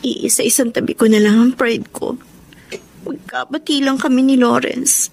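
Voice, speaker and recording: High-voiced, heartbroken young Filipina. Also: female voice, steady tone, normal speed, vocal fry, a little mellow, slight background noise. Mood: remorseful. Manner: emotional, earnest, pleading. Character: heartbroken, remorseful young woman pleading for her ex-boyfriend. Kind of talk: Filipino radio drama acting with letter narration.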